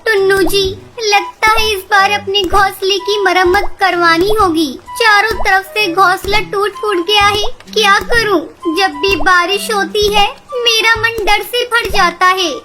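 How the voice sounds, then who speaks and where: A woman voicing a humble, anxious mother bird with an earnest manner in a Hindi animated story. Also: extremely high pitch, unhurried, a loud crowd. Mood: worried. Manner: pleading, earnest. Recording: loud music behind the voice.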